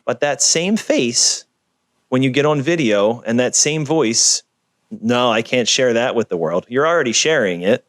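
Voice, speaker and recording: A man being interviewed over a video call, talking in an energetic, emphatic, storytelling way. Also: medium pitch, measured pace, no room sound. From Philadelphia area. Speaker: guest, video producer.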